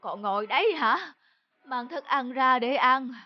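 A woman voicing a bossy, impatient, grumbling stout older man in an animated folk tale. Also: high voice, a little slow, muffled recording. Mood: demanding. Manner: impatient, scolding, complaining. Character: grumpy, bossy, stout older male master.

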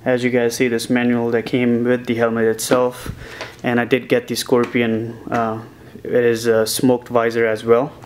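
Flat-voiced young man vlogging casually. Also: medium pitch, a little hiss or hum. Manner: relaxed, rambling with many uh and um fillers. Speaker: motovlogger. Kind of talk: casual vlog, gear walkthrough. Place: small home office, indoors.

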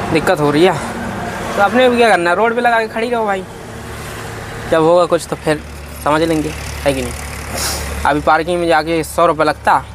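A man, chatting casually and informally to viewers as a truck-driver vlogger. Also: a little high. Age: 20s. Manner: informal, addresses viewers as brother. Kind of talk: casual vlog chat to viewers. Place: parked truck cab and roadside outdoors. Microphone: phone at arm's length. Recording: clear traffic and vehicle noise. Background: vehicles and urban street ambience.

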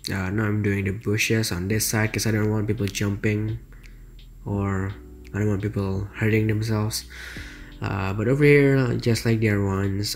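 A man's voice: lowish voice, a little mellow, slight background noise. A young man from Indonesia with an Indonesian accent, speaking English as a second language and rambling hesitantly over a city-building game time-lapse, full of fillers, self-corrections and apologies. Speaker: city-building gameplay creator. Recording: faint background music under the voice.

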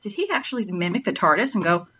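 A woman with a mid-pitched voice chats on a panel podcast. She is animated and rambles, breaking into her own sentences, and the audio is somewhat dull. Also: brisk, breathy edge. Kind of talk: casual chat.

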